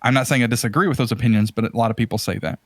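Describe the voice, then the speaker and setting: A man's voice: medium pitch, fairly even intonation, rapid-fire, no room sound. Emphatic man chatting, close dry sound. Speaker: podcast host. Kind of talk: casual chat, opinionated film commentary.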